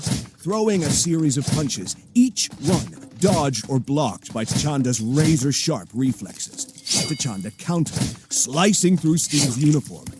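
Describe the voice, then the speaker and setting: A man narrating an action audio drama from a script in a dramatic storytelling style. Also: fairly high pitch.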